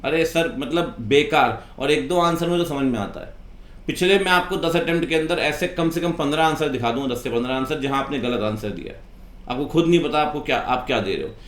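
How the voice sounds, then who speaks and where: A man's voice: on the high side, fairly quick. A man, an accountancy teacher giving an impassioned, informal rant in an exasperated, reproachful way full of rhetorical questions. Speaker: accountancy teacher and video host. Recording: echoey room.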